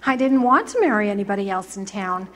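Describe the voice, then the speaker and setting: A woman playing a loving young wife in an amateur stage radio drama, speaking softly and tenderly. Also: medium pitch, lively intonation, quick pace, lightly acted.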